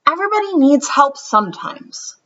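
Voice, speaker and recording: Woman doing an eager little girl from a picture book, high and bright, earnest. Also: very animated, moderate pace, lo-fi sound, exaggerated voice.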